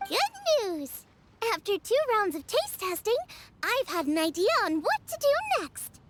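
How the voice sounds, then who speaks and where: A woman's voice: extremely high pitch, huge pitch swings, measured pace, noisy background, exaggerated voice. A woman voicing a spirited, friendly teenage girl chef in an anime video game, youthful, perky and eager. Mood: upbeat, eager. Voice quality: youthful, girlish.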